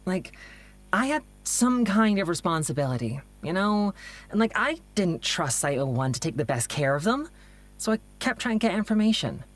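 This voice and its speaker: An actress as a low-voiced, stressed, caring woman. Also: very animated, moderate pace. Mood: earnest, worried. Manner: casual, candid, conversational. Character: stressed, caring woman confiding in her partner. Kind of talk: naturalistic audio drama dialogue.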